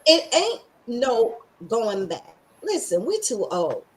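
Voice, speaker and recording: A woman's voice: a little high, wildly swooping pitch, takes it slowly. Scratchy-voiced sassy woman host. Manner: sassy, emphatic, preachy with slang. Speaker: podcast host. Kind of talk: casual motivational chat.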